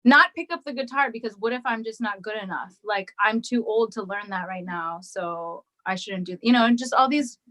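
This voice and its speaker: A woman who is a musician guest on a podcast, answering in a reflective, hesitant way with many pauses and restarts. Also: mid-range voice, bright, forceful tone, rough recording. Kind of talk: casual interview chat.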